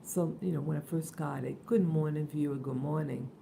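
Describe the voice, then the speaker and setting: Hoarse, soft-spoken woman with low gravelly voice. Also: fairly even intonation, moderate pace, slight background noise. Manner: soft-spoken, hesitant with many fillers. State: hoarse from just waking. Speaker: vlogger. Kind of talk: casual morning vlog about dreams.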